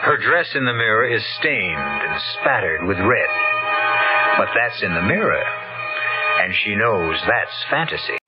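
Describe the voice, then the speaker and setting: A man hosting an old-time radio drama, narrating a script in a grave, ominous, deliberate way. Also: fairly low pitch, very animated. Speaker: host and narrator. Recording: muffled old radio broadcast. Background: loud dramatic music.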